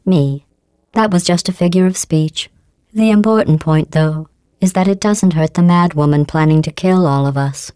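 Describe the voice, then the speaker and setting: A cold female machine intelligence, voiced by a woman, purrs out mock-polite insults in a low, smooth register. Every line lands dry and close, with no room around it, as she talks down to her test subjects in flat, passive-aggressive calm. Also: dry recording, natural voice. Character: cold, sarcastic female AI running a test facility. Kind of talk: video game character dialogue.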